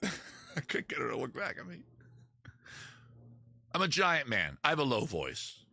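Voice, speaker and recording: Self-mocking chatty man, bright voice. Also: mid-range voice, huge pitch swings, normal speed, creaky, a little hiss or hum. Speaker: host, singer-guitarist. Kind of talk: casual live-stream banter between songs. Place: under a small rain tent outdoors. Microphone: microphone on a live-stream setup. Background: guitar.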